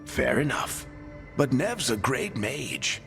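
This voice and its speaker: An actor playing a seasoned, injured team leader in a video game, wry, casual and encouraging. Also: male voice, medium pitch, huge pitch swings, slow pace, gravelly, a bit bright, in a large hall, faint music behind, full character voice. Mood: frank, caring.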